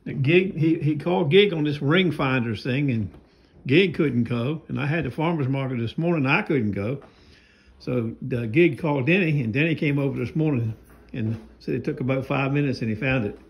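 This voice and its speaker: A man's voice: medium pitch, normal speed, vocal fry, muffled recording. An older man narrating a casual home vlog, rambling and folksy, filming with a phone around his house and yard. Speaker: knife sharpener vlogger.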